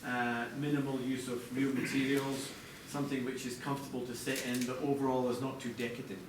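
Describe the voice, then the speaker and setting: A man presenting product design live to a group, explaining in a halting way with corporate phrasing. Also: medium pitch, level tone. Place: large echoey indoor venue, apparently a hotel. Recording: echoey noisy room, distant mic.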